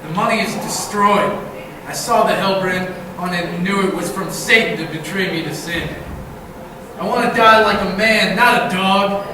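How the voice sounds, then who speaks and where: A dying old husband acted by a man confesses with heavy guilt in an amateur stage reading. The voice is a little high for a man, caught from far off in a very echoey, noisy room. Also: moderate pace, close to an everyday voice. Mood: remorseful. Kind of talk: amateur readers' theatre performance.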